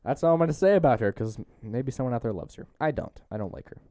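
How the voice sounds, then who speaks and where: A man's voice: medium pitch, lively intonation, fast, dark tone, no room sound, clean studio sound. A young man hosting a casual film-review chat, informal and jokey, switching between summarising and commenting. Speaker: podcast host.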